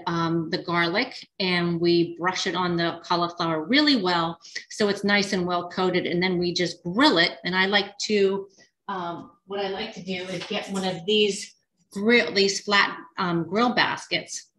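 A woman's voice: lowish voice, steady tone, a little slow, a bit bright, rough recording. A woman teaching a casual cooking demonstration, chatty and rambling with frequent ums and restarts. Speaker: dietitian cooking instructor.

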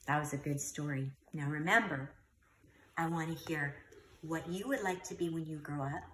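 A middle-aged woman reading a children's story aloud in an expressive, teacherly way. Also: low voice, normal speed, slight background noise. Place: living room at home, indoors.

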